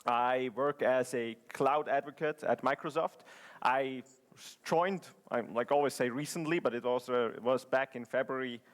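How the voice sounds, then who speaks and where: A man's voice: mid-range voice, normal speed. A man from Switzerland giving a conference presentation in English, chatty and joking, with many fillers and restarts. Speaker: conference speaker. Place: conference hall.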